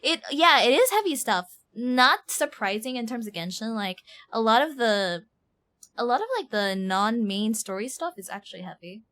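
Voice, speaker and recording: A woman streaming a game, giving casual live reaction commentary in an animated, exaggerating manner. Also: a little high, normal speed. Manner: animated, mimics lines with exaggeration.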